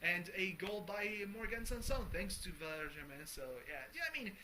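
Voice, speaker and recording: Young man, high bright voice, rambling video diary, faint hiss behind. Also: expressive, normal speed. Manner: rambling, many restarts and fillers, swears casually. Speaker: vlogger. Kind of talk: casual personal video diary.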